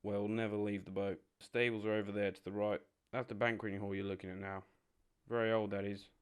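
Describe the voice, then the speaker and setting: A man voicing a cheerful, confident male water rat who speaks briskly. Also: lowish voice, flat delivery, smooth, close to an everyday voice. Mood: informative.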